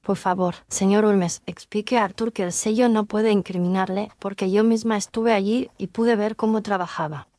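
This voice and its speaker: A woman's voice: medium pitch, fairly even intonation, normal speed, few pauses, mellow, dry recording, voice pushed up, lightly acted. A woman voicing a loyal young Victorian governess, polite, formal and imploring, in an audio detective story. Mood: earnest, imploring. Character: earnest, loyal young Victorian governess pleading for her fiancé.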